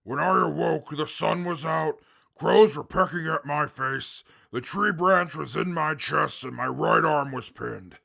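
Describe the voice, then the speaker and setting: Huge mutant brute for a radio drama: very gravelly, slow, indignant and formal. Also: male voice, mid-range voice, exaggerated voice. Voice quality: gravelly, forceful. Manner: formal, blustering, indignant speech. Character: big green indestructible mutant brute, pompous, short-tempered, hungry. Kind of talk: post-apocalyptic audio drama acting.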